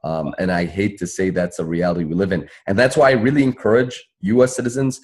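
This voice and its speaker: A man with a husky voice speaking emphatically as an activist panelist, explaining things off the cuff. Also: sits low, steady tone, fast talker, darkish tone. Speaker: panelist, civil rights attorney and activist. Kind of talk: spontaneous panel talk, advocacy.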